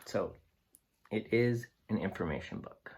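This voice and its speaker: A man reading aloud to children as a teacher, pausing to explain things simply to young listeners. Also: on the low side, wildly swooping pitch, vocal fry, background noise. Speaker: read-aloud storyteller and teacher.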